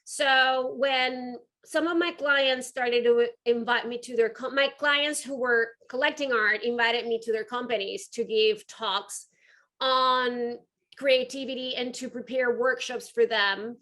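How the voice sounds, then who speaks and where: A woman's voice: fairly high pitch, steady tone, a little slow, a bit bright. A woman with a Venezuelan accent giving a conversational talk to an audience as a guest speaker, earnest and emphatic. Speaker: guest speaker, art curator and entrepreneur.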